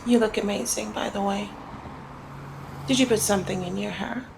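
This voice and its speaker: A woman's voice: mid-range voice, fairly even intonation, normal speed, vocal fry, noisy background. A woman playing a flirty, commanding female mob boss in an ASMR roleplay, talking in a teasing, coy and controlled way. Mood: teasing, sly. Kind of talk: ASMR roleplay, scripted one-sided dialogue.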